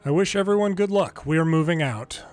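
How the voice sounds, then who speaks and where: Guy reading out video game story lines, voice a bit on the high side, some music behind him. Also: fairly quick, a little mellow. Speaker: game longplay narrator reading dialogue aloud. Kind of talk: reading game story text aloud, casual commentary asides.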